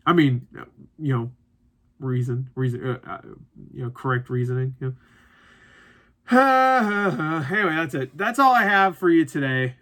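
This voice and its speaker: A man's voice: a little high, big pitch swings, measured pace, long pauses, sharp tone. A man of thirty giving a casual, unscripted movie review as host, rambling conversationally and swearing casually.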